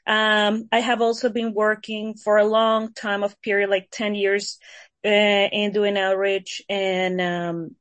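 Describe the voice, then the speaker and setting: A woman's voice: medium pitch, little pitch movement, slow pace, a bit bright, dry recording. A woman from El Salvador, a community organizer, introducing herself at a public meeting in a friendly, earnest way.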